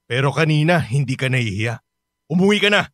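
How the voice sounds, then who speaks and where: A man's voice: fairly high pitch, very animated, brisk, a bit bright, no room sound, clean studio sound. An actor playing a hardworking father back from abroad, tender with his child and bitter at his cheating wife. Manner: pleading then bitterly mocking. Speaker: Karding, the father. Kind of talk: radio drama acting.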